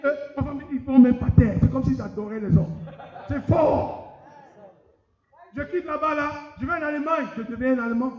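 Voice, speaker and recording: Preacher guy, high voice, lively, dull echoey hall sound. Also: normal speed. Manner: lively, addresses the congregation directly. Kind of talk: sermon. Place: conference hall.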